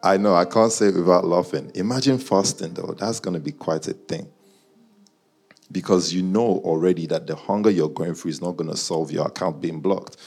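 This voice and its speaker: Husky, bantering London man. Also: sits low, normal speed, a little mellow. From Nigerian, childhood years in Guyana, schooled in the UK. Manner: bantering, teasing listeners by name, slangy. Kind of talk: casual chat with a live audience and online viewers.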